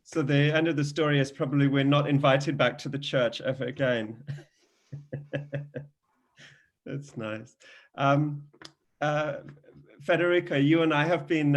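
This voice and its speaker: A man hosts a video call. He speaks in a friendly, hesitant way with many fillers. His voice is at a middle pitch. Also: steady tone, measured pace. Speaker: host of the event.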